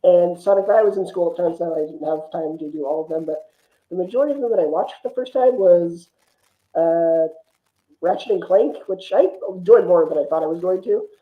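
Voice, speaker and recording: A man's voice: high voice, brisk, narrow, tinny audio. A man talking casually and opinionatedly about films as a podcast guest. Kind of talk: casual film chat.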